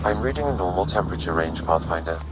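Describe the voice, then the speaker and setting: Calm computer assistant for a sci-fi game, very low, clipped formal reports, music under. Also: male voice, fast, rough recording. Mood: calm, clinical. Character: calm male artificial intelligence assistant, precise, formal. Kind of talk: video game dialogue acting.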